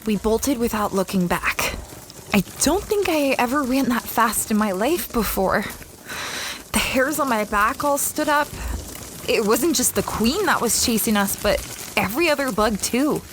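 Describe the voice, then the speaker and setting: A woman's voice: a little high, expressive, measured pace, fairly bright tone, slight background noise. A woman playing an adventurous, easily flustered young space explorer, narrating her story in an audio drama. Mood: breathless recounting. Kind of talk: first-person audio drama narration and dialogue.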